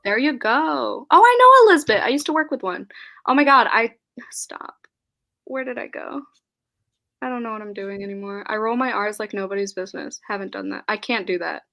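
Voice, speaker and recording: A woman's voice: mid-range voice, fairly quick, creaky, fairly bright tone. Giggly young woman hosting a livestream. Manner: giggly, scattered, laughs often. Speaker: host of a live book chat. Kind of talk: casual live-stream chat reading comments aloud.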